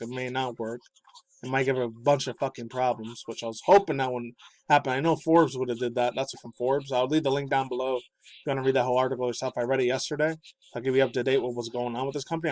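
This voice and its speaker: A man in his thirties ranting about pop culture news, loud, profane and heated, shifting between yelling and low muttering, with a slightly raspy voice. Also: no echo. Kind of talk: angry ranting commentary.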